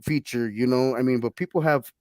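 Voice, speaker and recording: A man's voice: mid-range voice, a little fast, a little mellow, no echo. A male DJ hosts a radio talk show, asking his guest questions in a loose, rambling way full of fillers. His voice sits in a middle range. The sound is dry and close. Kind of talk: casual interview chat.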